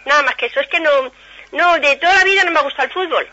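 A middle-aged woman with an accent from Spain talks quickly and casually. Her voice is bright and she rambles, repeating her explanations. It comes through a muffled telephone line. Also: high voice, slight background noise. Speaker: prank call recipient. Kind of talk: casual phone conversation.

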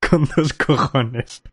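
A man's voice: mid-range voice, breathy edge. A man from Spain telling a long travel anecdote in a casual chat, laughing often and swearing casually. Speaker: guest storyteller.

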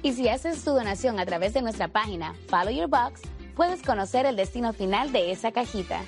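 Woman, fairly high voice, scripted tutorial narration, light music underneath. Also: animated, a little fast. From Dominican Republic. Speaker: charity video presenter. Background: background music.

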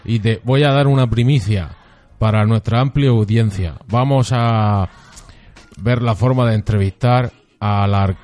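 A man's voice: lowish voice, measured pace, a little mellow, faint music behind. A man hosting a local radio show, chatting casually with a guest in a dry, teasing way. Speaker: radio host.

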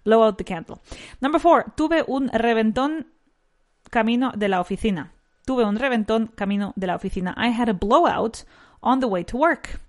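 A woman with a nasal voice teaching an English lesson. She repeats each sentence slowly and switches between English and Spanish. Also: a little high, expressive. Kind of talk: bilingual language lesson.

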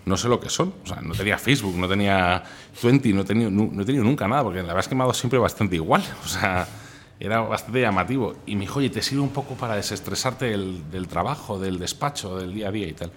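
A man's voice: on the low side, quick pace, a little hiss or hum. A man with an accent from Spain, chatting candidly and colloquially as a guest in a casual interview. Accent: Spanish from Spain. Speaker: interview guest, food recommendation influencer. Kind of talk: casual interview chat, anecdotes.

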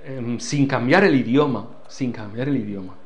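A man's voice: medium pitch, normal speed, darkish tone, dull audio, a little hiss or hum. An older man with a foreign accent, a church leader giving earnest interview answers and repeating key phrases for emphasis. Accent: foreign accent in Spanish.

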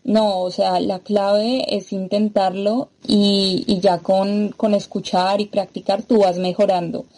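Mellow-voiced Latin American woman explaining. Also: medium pitch, level tone, takes it slowly, hardly any pauses, rough recording. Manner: explanatory, thoughtful with fillers. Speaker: guest. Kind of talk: interview conversation.

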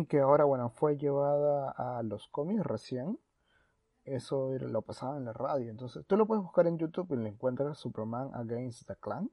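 A man co-hosting a podcast in a casual, halting way, with frequent false starts. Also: mid-range voice, a little mellow, no room sound. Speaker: podcast co-host.

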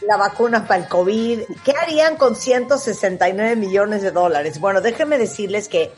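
A woman with a Mexican accent hosting a radio talk show, lively and emphatic. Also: mid-range voice. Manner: lively, emphatic, reads ads.

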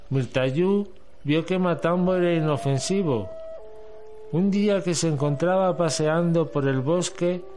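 A man's voice: on the high side, darkish tone. A man reading scripted storytelling narration as the narrator of an amateur radio drama. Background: music.

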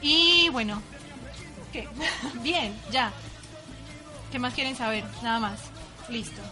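A woman from Colombia, chatting casually as a radio co-host, bubbly and quick to laugh at herself. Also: a little high, animated, bright, forceful tone, faint music behind. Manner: bubbly, laughs often, self-deprecating. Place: radio studio.

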